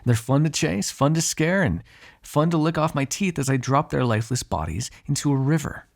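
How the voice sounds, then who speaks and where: Man acting a gravelly, sardonic male vampire. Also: fairly high pitch, animated, moderate pace. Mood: sardonic, menacing. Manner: sardonic, drawling, swearing, exasperated asides. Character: ageless male vampire, cynical, menacing, grudgingly fond captive. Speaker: voice actor in an audio roleplay. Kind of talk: ASMR roleplay, one-sided dramatic dialogue.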